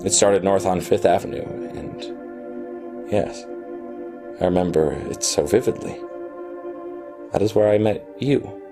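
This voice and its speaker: Narrator role, male actor: reflective, self-satisfied programmer, low register, scripted radio play storytelling with clear music bed.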